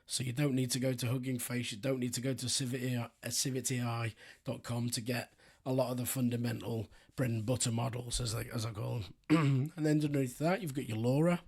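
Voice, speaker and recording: Hoarse, raspy-voiced man hosting a loose, chatty software tutorial. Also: medium pitch, fairly quick. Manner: relaxed, rambling, frequent ums and restarts. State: hoarse, sore throat. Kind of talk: screen-recorded software walkthrough.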